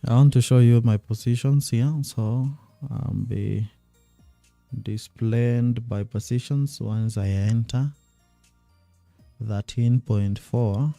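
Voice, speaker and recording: A man streaming live trading commentary, thinking aloud and repeating himself while he watches the charts, his voice going a little hoarse. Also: medium pitch, slow, mellow, dry recording, faint music behind. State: losing his voice.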